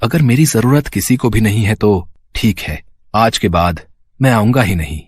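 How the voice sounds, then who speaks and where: Casting: male actor as wounded, solemn cartoon moon deity, low register, slightly rough, dry sound. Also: lively intonation, normal speed, no echo. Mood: hurt, sulky. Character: moon god, male, hurt and sulky. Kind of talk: animated moral story acting.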